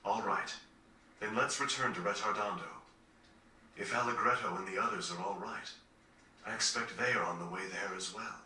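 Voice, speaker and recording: A man acting a refined, serious gentleman in a video game, formal, polite and pointed. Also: fairly low pitch, normal speed, a little hiss or hum. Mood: calm, accepting. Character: refined, serious gentleman, coolly probing and courteous. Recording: echoey, distant, over faint game music.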